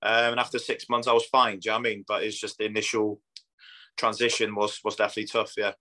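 A man's voice: on the low side, flat delivery, fast, bright, forceful tone. A Polish man in his thirties, a native Polish speaker and footballer, chatting casually and matter-of-factly in an interview, his accent a mix of Polish, Scandinavian and northwest English. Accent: Polish mixed with Scandinavian and northwest English. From Poland. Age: 30s.

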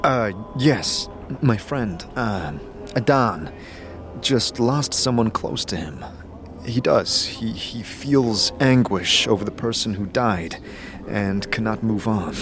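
Man acting a low-voiced, halting fantasy wanderer. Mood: halting, concerned. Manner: halting, low-key, reserved. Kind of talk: fantasy audio drama acting. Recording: clear background noise.

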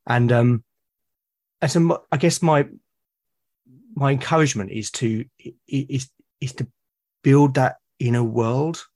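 Thoughtful British man, halting restarts. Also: mid-range voice, darkish tone. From Britain. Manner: thoughtful, often restarts and repeats words mid-sentence. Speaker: guest. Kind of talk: reflective casual conversation.

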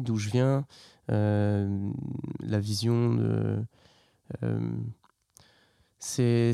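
A young man, a hip hop artist, talking in a casual interview in a soft-spoken, halting and reflective way. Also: lowish voice, gravelly, clear, resonant tone, no echo, studio quality. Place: artists' workshop.

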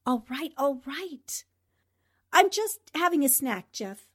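A woman playing a plucky, sharp-tongued newspaper reporter in a radio drama, composed and wry. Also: high voice, expressive, takes it slowly. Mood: feigned cheer.

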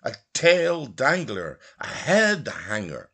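A man reads literary prose aloud expressively, in measured phrases with deliberate pauses. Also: mid-range voice, wildly swooping pitch, gravelly, a bit bright, husky. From Belfast, Northern Ireland. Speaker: audiobook reader, lecturer.

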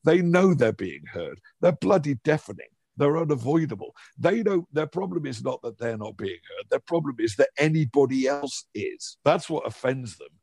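Middle-aged male comedian from Liverpool, wry and opinionated, conversational, mid-pitched. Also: expressive, moderate pace, gravelly, few pauses, a little mellow, breathy edge, no room sound, studio quality. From Liverpool, England. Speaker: comedian guest. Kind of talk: casual conversational commentary.

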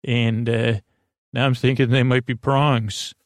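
A man with a gravelly voice hosting a bedtime podcast, improvising a meandering, halting monologue that circles back on itself and trails off. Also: mid-range voice, little pitch movement, no echo, clean studio sound. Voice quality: gravelly, creaky. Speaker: podcast host.

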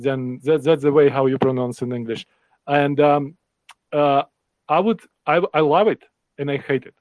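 A middle-aged man with a Ukrainian accent talks through his thoughts in a panel chat, stumbling and restarting as he hunts for words. His voice sits at an ordinary pitch and comes across close and dry, with little room around it. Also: a little mellow, no room sound. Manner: halting, searching for words, many restarts. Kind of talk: casual group discussion.

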